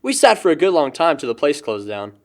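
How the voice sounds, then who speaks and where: A man's voice: on the high side, big pitch swings, fairly quick. A young man playing a lighthearted, chatty, lovestruck guy in a casual audio drama, joking and rambling. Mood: earnest, smitten. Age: young adult.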